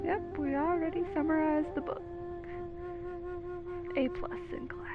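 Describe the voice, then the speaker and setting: A woman's voice: extremely high pitch, steady tone, unhurried, phone-quality sound. A woman giving casual reaction commentary on a video game, with dry, teasing sarcasm. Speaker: gameplay commentator. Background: loud game music.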